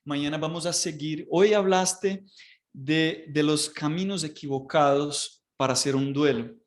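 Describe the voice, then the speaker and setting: A man's voice: fairly high pitch, steady tone, a little slow. A man hosting a live online program, speaking with frequent fillers and restarts. He is not from Colombia. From outside Colombia. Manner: hesitant, many fillers and restarts. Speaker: host.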